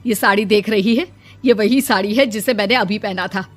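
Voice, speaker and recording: A woman voicing a scolding middle-aged mother-in-law in a cartoon story, bossy and quick to anger. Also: medium pitch, faint music behind, lightly acted. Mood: reproachful. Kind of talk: Hindi cartoon voice acting.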